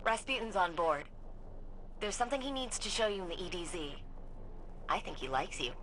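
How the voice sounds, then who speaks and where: A woman's voice: fairly low pitch, lively intonation, normal speed, background noise, close to an everyday voice. A woman playing an earnest, determined soldier and tech expert in a video game, speaking persuasively.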